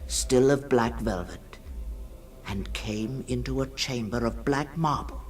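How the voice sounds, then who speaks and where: A man giving a solemn, dramatic literary reading in the style of 1960s radio, swinging between hushed and ringing tones. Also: medium pitch, slow pace. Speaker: storyteller, reader. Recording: 1960s radio production with music behind. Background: music.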